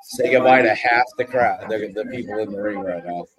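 A man's voice: on the low side, fairly quick, fairly bright tone. A man chatting casually through a wrestling watch-along, slangy, joking and sometimes profane. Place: live show at a bar and grill. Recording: noisy bar crowd around the voice. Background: crowd noise.

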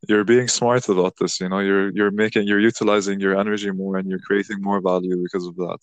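Host, male, low voice, dry close sound, loose reflective delivery with frequent restarts. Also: flat delivery, quick pace, no echo. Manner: rambling, many restarts, reflective. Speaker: podcast host. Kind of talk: casual conversation.

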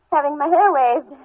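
A woman playing a prim, imperious middle-aged New England schoolteacher with an American accent, brisk and unflappable, in old-time radio drama style. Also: high voice. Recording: tinny, band-limited vintage radio recording.

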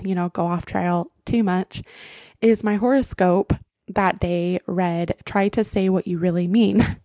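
A young woman hosting her own podcast, chatting casually and filling her talk with likes and ums. Her voice is mellow with a gravelly edge, recorded dry and close. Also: medium pitch, no echo. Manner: chatty, with frequent fillers and laughs. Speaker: podcast host.

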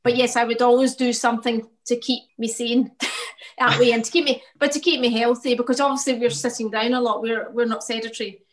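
A woman's voice: on the high side, fairly even intonation, brisk, a little hiss or hum. A woman painter talking reflectively about her work in a casual interview, often laughing.

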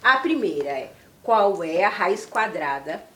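A woman's voice: lowish voice, a little slow. A woman with a Brazilian accent hosting a podcast, chatting playfully with children in a museum studio. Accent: Brazilian Portuguese. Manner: cheerful, teasing, lively questions. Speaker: podcast host interviewing children. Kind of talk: playful casual chat with kids. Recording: echoey studio, faint noise.

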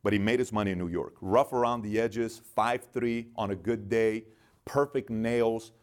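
A middle-aged man born in Iran, guest in an interview, telling animated stories and acting out the dialogue. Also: lowish voice, normal speed. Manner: animated storyteller, acts out dialogue in quotes. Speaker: guest, business founder. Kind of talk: storytelling interview.

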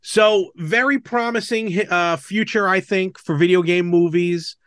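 A man hosting a casual chat podcast, talking in a halting, measured way with small asides and self-corrections. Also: sits high, fairly even intonation, no echo, studio quality. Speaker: podcast host. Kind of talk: casual chat about games and film.

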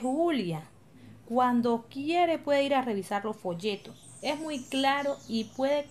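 A woman playing a calm, assured community leader who explains patiently in a scripted radio drama. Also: on the high side. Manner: explanatory, reassuring.

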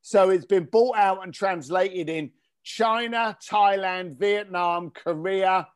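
High-voiced man telling his story. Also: slow pace, clean tone. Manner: storytelling, quotes himself, repeats for emphasis. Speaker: guest, author and business owner. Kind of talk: casual interview.

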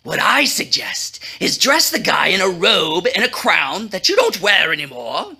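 A woman's voice: lowish voice, wildly swooping pitch, unhurried, creaky, pushed and bright, slightly rough, pitched below the performer's own voice, heavily acted. A woman as a vain, scheming storybook villain. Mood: eager, vain.

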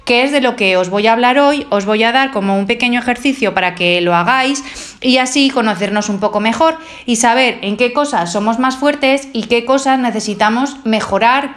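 Gentle Spanish woman hosting a podcast. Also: on the high side. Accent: Spain Spanish. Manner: gentle, encouraging, addresses listeners directly; hesitant, many drawn-out fillers. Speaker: podcast host. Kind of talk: solo self-help podcast monologue.

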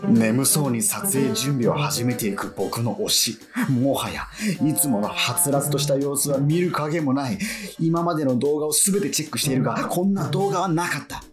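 An actor playing an excitable male fan who lives alone, narrating and muttering to himself in a flustered way in a radio drama. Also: mid-range voice, moderate pace, close to an everyday voice. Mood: narrating, bewildered. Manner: animated, self-muttering, easily flustered. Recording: faint music behind.

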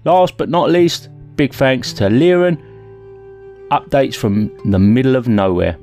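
A man's voice: mid-range voice, measured pace, warm tone. A man with a mid-range voice hosts a podcast, delivering a friendly, thankful sign-off. Plucked guitar music plays along behind him. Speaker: podcast host.

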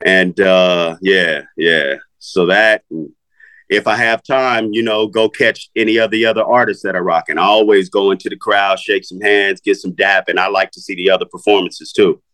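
A middle-aged man with a fairly low voice talks loosely about his music career. His manner is laid-back and unpolished, peppered with swearing and "you know, man.". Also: fairly even intonation, normal speed, pushed and bright, poor audio. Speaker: rapper, interview guest. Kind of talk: casual interview talk.

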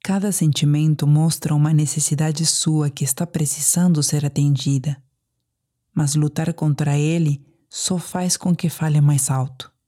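A woman with a Brazilian accent guides a sleep meditation. Her voice sits low for a woman and has a dark, relaxed tone. She phrases things deliberately and soothingly, with gentle pauses.

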